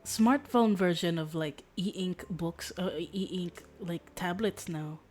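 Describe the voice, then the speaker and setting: A woman's voice: on the low side, slow, a little mellow, slight background noise. A woman streamer chatting casually in a rambling, hesitant, wry way during gameplay. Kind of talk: casual livestream chat over gameplay. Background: video game dialogue.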